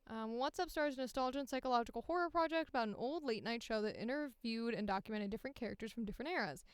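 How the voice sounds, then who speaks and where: A woman voice actor streaming in a casual, informal way, reading things aloud and thinking out loud as she comments. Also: fairly high pitch, fast, clean tone, no echo.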